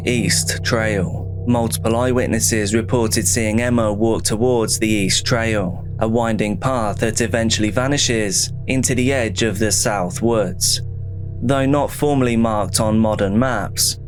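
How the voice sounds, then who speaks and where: Slow, low-voiced man narrating a horror case file.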